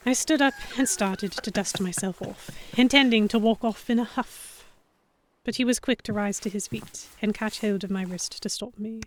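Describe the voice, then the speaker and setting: A woman playing a young, flustered heroine who narrates a pirate romance in the first person, with expressive dramatic delivery. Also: mid-range voice, moderate pace, lightly acted, low-quality recording. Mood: breathless longing.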